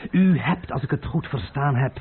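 A man playing an imperious, impatient gentleman in a radio play, coaxing and then peremptory as he probes for information. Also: medium pitch, expressive, moderate pace, husky. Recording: muffled old radio play recording.

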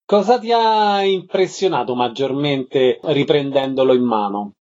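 A man's voice: medium pitch, expressive, a little slow, a little mellow, lo-fi sound. A man hosting a radio arts programme, putting questions to guests and reading out announcements. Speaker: radio interviewer and host.

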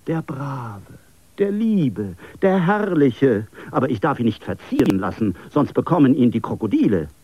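A man voicing a small, excitable man who frets loudly and gushes over his friend. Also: normal speed, husky, no echo, highs cut off. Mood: fretful. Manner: shrill, frantic, effusive.

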